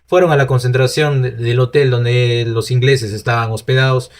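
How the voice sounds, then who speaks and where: Peruvian Spanish man, mid-pitched, chatty solo pundit who restarts lines and asks himself questions. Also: steady tone, moderate pace. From Peru. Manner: talkative, restarts often, rhetorical asides. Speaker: football opinion host. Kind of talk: solo opinion commentary.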